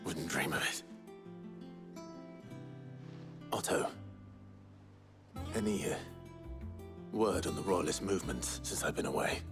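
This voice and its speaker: A man playing a brooding, earnest fantasy hero in a video game, with a gruff, husky voice and an understated delivery. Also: extremely low pitch, moderate pace. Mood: quiet, dry. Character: brooding young male hero, earnest and restrained. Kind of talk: dramatic video game acting. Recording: music behind the voice.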